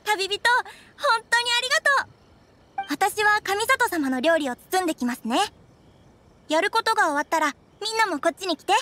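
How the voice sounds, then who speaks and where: A woman playing a friendly, enthusiastic young restaurant keeper in an anime game, chirpy and expressive. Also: very high-pitched, normal speed, full character voice. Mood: excited, grateful. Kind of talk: anime game character acting.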